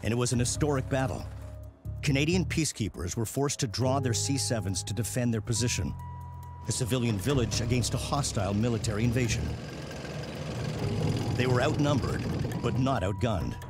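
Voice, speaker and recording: A man reading scripted television documentary narration in a measured way with dramatic emphasis. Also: medium pitch. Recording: music behind the voice. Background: background music.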